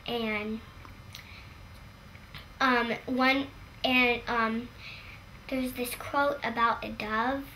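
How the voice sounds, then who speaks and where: A young woman explaining her beliefs simply and earnestly in an interview, pausing often. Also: a little high, steady tone, pushed and bright, slight background noise, low-quality recording. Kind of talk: documentary interview.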